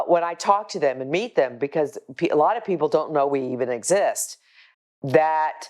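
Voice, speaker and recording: An older woman with a low voice, a shelter volunteer speaking earnestly and firmly about her work in casual talk to camera. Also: a little mellow. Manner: earnest, deliberate, firm. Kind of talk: casual spoken account to camera, showing photos.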